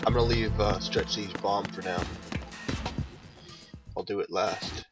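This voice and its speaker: A man's voice: on the low side, steady tone, unhurried, far from the mic. A man giving casual commentary as he plays through a video game. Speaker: let's player. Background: loud game music.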